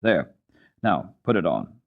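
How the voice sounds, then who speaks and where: A man playing a kindly, gruff old mountain grandfather, plain-spoken and approving, in a children's story from an old radio recording. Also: sits low, big pitch swings, slow, heavily acted. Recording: muffled, lo-fi archival radio recording.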